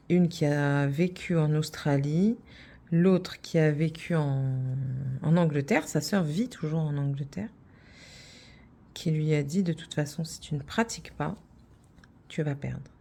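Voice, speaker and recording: Low mellow-voiced Frenchwoman chatting. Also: takes it slowly, pauses often. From France. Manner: rambling, many fillers and restarts. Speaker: craft channel host. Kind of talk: casual chatty monologue.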